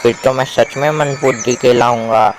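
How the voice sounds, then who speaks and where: A brash young lion voiced by a man, mid-pitched and colloquial. He is ambitious and pushy in the manner of a cartoon fable, with faint noise behind him. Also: fairly even intonation, moderate pace, natural voice, rough recording. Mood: indignant. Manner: colloquial, boastful, pestering. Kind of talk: Hindi animated moral story dialogue.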